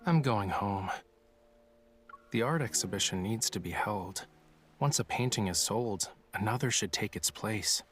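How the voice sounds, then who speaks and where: A man's voice: on the low side, a little slow, a bit bright. An actor playing a playful, dreamy young male artist in a romance game, speaking in a casual, teasing way. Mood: matter-of-fact. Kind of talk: voiced dialogue in a romance game.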